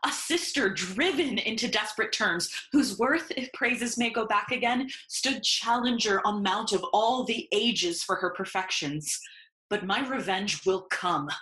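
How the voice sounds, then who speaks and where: A woman's voice: mid-range voice, unhurried, bright, forceful tone, roomy sound. A woman playing a grieving, vengeful young nobleman in a Shakespearean audio play, with intense declamatory delivery. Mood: bitter grief.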